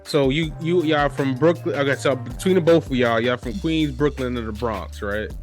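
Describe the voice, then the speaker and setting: A man's voice: mid-range voice, normal speed, faint music behind. A man hosting a casual podcast interview, talking loosely with many restarts and fillers. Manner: loose, restarts often, lots of "you know". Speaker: podcast host.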